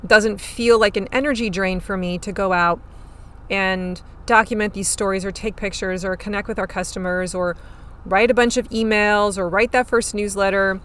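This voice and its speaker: A woman hosting a solo podcast on farm marketing, her voice a little hoarse and scratchy, talking in an upbeat, chatty way while chewing gum. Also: medium pitch, fairly even intonation, moderate pace, fairly bright tone.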